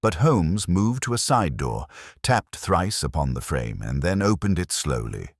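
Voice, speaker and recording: A man's voice: low-pitched, big pitch swings, unhurried, dry recording, studio quality. A man narrating a Victorian detective story in a scripted, literary audiobook style.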